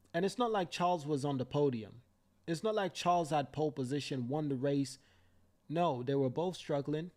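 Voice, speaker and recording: A man's voice: fairly high pitch, a little mellow. A young Jamaican man hosting a live stream, ranting fiercely with profanity and repeating himself for emphasis. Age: young adult. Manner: fiery, profane ranting with repetitions. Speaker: livestream host. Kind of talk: heated live commentary.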